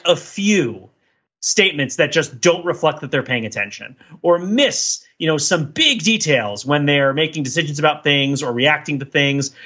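A man's voice: on the high side, bright, forceful tone, breathy edge, muffled recording. A man talking casually and analytically as a podcast host, discursive and emphatic in his delivery.

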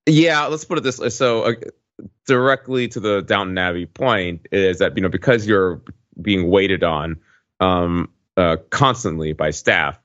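Male podcast co-host, deep register, wry and rambling delivery, close dry sound with muffled top end. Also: a bit bright, no room sound. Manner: halting, digressive, wry. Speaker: podcast co-host recounting a trip. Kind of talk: casual conversational storytelling.

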